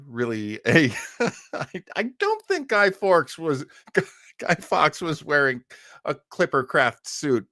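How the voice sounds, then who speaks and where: Male streamer host, mid-pitched and unhurried, casual and jokey, with a dry sound. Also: pushed and bright, dry recording. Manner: jokey, rambling asides. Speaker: livestream host. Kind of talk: casual chat commentary on old radio.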